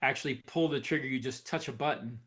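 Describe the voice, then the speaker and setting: A man's voice: mid-range voice, quick pace, fairly bright tone. A man co-hosting a podcast in a casual, conversational style. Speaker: podcast host. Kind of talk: casual chat.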